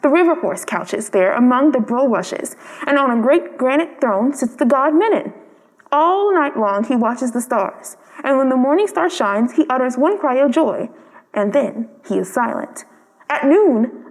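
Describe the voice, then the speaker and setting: Woman voicing a high-voiced, proud little swallow. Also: lively intonation, measured pace, big-room sound, low-quality recording. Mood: dreamy. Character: proud, kind-hearted little male swallow.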